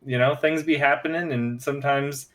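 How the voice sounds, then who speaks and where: A man talks casually at a middle pitch, with an easygoing and slangy delivery. He sounds like a podcast co-host chatting with friends. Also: fairly even intonation, normal speed, a bit bright. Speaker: podcast host and tabletop player. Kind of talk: casual chat.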